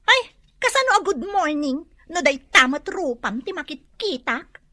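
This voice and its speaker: A woman's voice: sits high, very animated, a little slow, sharp tone, no echo, exaggerated voice. An actress with an Ilocano accent playing a snippy, boastful young woman in a radio drama, with a haughty manner. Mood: snippy, scornful.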